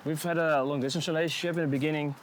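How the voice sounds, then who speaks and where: A man from the Netherlands with a Dutch accent, a music producer being interviewed while walking along a city sidewalk, talking in a laid-back, unhurried way and searching for his words. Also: fairly high pitch, fairly even intonation, slight background noise. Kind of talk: casual interview chat.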